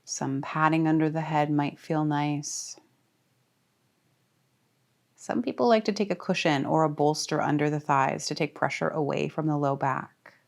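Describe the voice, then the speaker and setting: A woman leading a guided relaxation as a yoga teacher, speaking calmly and soothingly with deliberate pauses. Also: sits low, creaky. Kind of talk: guided meditation.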